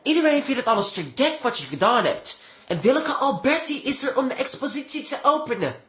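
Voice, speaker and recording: A man's voice: extremely high pitch, big pitch swings, a little fast, a bit bright, exaggerated voice. An actor playing a coaxing, reassuring male friend in a radio play. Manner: coaxing, encouraging. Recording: roomy echo, people in background.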